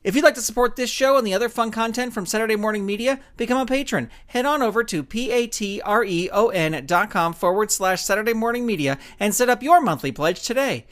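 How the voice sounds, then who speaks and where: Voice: mid-range voice, expressive, a little fast, hardly any pauses, a bit bright. An American announcer reading scripted, upbeat credits for a children's audio show. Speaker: closing announcer.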